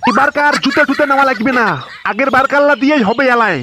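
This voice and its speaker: A man's voice: very high-pitched, fast, background noise. A man voicing an irritable village father in a comedy cartoon, scolding crudely with a North Bengal accent. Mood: exasperated. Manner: foul-mouthed, exasperated, scolding.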